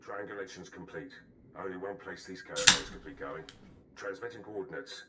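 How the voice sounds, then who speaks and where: A man's voice: sits low, a little fast, natural voice. A man playing a dutiful military subordinate in a video game, reporting formally to his lord. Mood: businesslike. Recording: echoey game audio under loud noise.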